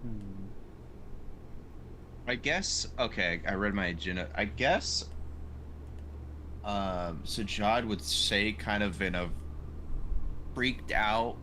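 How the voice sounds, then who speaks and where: Bright-voiced man, slow and halting. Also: on the low side, slight background noise, poor audio. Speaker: tabletop game player. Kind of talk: casual game-table talk.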